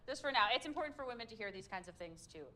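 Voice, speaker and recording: A woman's voice: on the high side, animated, racing, bright, forceful tone, slight background noise. Chatty upbeat woman coaching a group. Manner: upbeat, chatty, lots of fillers. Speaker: public speaking coach. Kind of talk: leading a group workshop. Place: meetup room with a group.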